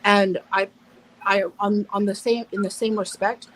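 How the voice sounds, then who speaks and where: A woman's voice: medium pitch, little pitch movement, a little slow, fairly bright tone, poor audio. Blunt, cursing woman on a panel. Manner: blunt, profane, self-interrupting, over-explains. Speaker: panel guest. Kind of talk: casual live panel discussion.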